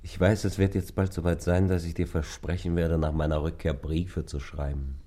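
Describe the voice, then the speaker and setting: A low, husky voice gives us a brooding lover in a radio drama. He is wry and detached, and his delivery halts and turns back on itself. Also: little pitch movement, moderate pace, mellow. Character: melancholy, detached lover about to leave, later frantic. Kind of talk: literary radio play acting.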